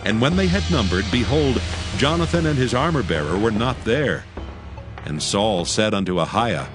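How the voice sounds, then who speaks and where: A man narrating scripture as a scripted dramatic audiobook in solemn, measured storytelling. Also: on the low side, lively intonation, over music.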